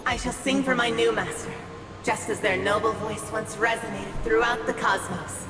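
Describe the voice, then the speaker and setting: A woman's voice: fairly high pitch, steady tone, normal speed, close to an everyday voice. A woman playing a devoted, eager servant in a game's stage play, with formal, fervent theatrical delivery. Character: devoted, eager female servant seeking a new master. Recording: echoey hall, music behind.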